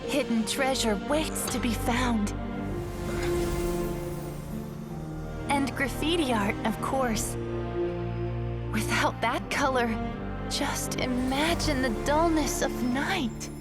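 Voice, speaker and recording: Slow, serene dying woman. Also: sits high, long reverb tail, loud music behind the voice. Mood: wistful, poetic. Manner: breathy, unhurried, solemn storyteller delivery. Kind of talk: video game voice acting.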